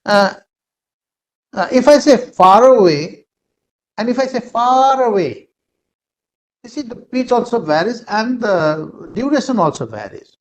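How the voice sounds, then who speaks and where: A man with an Indian English accent, a native Nepali speaker, giving an online lecture in a halting way with many fillers, over a video call. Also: extremely high pitch, animated, rough recording.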